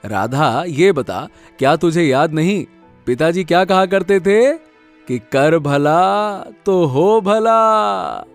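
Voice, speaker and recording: A man's voice: fairly high pitch, animated, takes it slowly, faint music behind. A man voicing a kind, trusting young farmer in a story, good-natured and earnest. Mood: earnest, assured.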